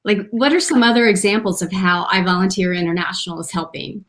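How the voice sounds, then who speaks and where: A woman's voice: mid-range voice, a little fast, a bit bright. A woman hosting an interview, asking chatty, enthusiastic questions. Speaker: interview host. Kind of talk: conversational interview.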